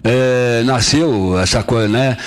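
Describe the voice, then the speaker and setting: A husky-voiced southern Brazilian man chatting casually on air as a host, rambling and halting with repeated words. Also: mid-range voice. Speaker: radio host.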